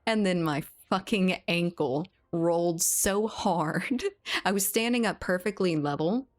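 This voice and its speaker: Chatty low-voiced woman streamer. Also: a little slow. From South Louisiana, US. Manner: chatty, laughs often, reads and answers chat. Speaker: game streamer. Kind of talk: casual live-stream chat while gaming.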